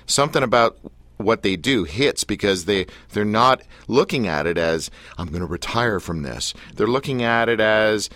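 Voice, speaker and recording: A man hosting a radio interview, asking quick questions and teasing his guest with jokes. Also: on the low side, animated, fairly bright tone, no echo. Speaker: radio show host. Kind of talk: casual interview banter.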